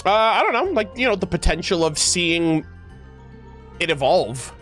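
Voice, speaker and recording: A man's voice: high voice, very animated, moderate pace, fairly bright tone. A man giving casual, slangy live reaction commentary as a game streamer, talking to his chat. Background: game music.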